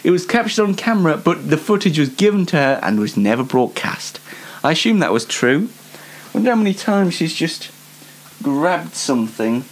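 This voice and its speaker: A man's voice: a little high, big pitch swings, moderate pace. A British man hosting an unscripted comic news show in a rambling, self-mocking way. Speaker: host of a comedy news show.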